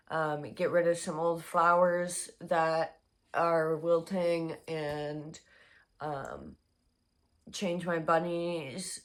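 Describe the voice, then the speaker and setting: A young woman chatting casually in a crochet vlog, her voice hoarse and congested, rambling with many fillers. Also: on the low side, fairly bright tone. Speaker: crochet vlogger.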